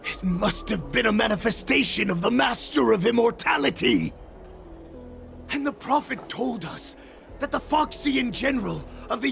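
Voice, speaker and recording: An actor playing a savage, fanatical wolf-like raider in a video game, with a snarling, theatrical delivery. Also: male voice, extremely high pitch, heavily acted. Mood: fervent. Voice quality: heavily gravelly, snarling. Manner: slow, theatrical, swinging into shouts. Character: savage wolfish raider, bloodthirsty, fanatical servant of his lord. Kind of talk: dramatic video game voice acting.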